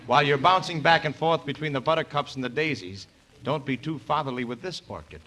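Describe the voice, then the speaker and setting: A man's voice: a little high, fairly quick, pushed and bright. A man playing an eager, boyish young soldier prince in a 1940s radio operetta. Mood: teasing. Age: young adult. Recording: noisy vintage 1940s radio broadcast.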